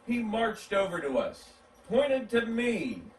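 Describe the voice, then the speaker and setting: A man reading a children's story aloud in an animated, dramatic, drawn-out way. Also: high voice. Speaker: story reader. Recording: roomy, distant mic, background noise.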